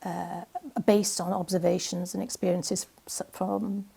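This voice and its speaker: A woman's voice: on the low side, little pitch movement, measured pace, vocal fry, warm tone, husky. A woman poet being interviewed, talking in a hesitant way with many fillers and restarts. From Wales. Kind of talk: casual interview chat.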